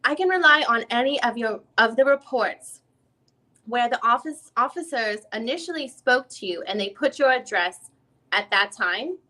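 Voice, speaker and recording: A woman reading the part of a female lawyer who questions a witness in a deposition, in halting, rephrased questions. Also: fairly high pitch, animated, pushed and bright, low-quality recording. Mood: probing. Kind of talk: dramatic reading of a legal deposition transcript.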